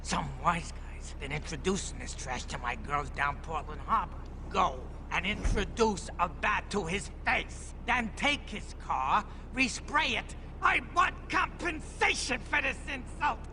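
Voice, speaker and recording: A man's voice: high-pitched, expressive, measured pace, hardly any pauses, big-room sound, noisy background. A man playing a sleazy, menacing mobster club boss in a video game, bossy and streetwise, giving orders. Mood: angry, threatening. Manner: bossy, streetwise wise-guy talk. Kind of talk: video game cutscene acting.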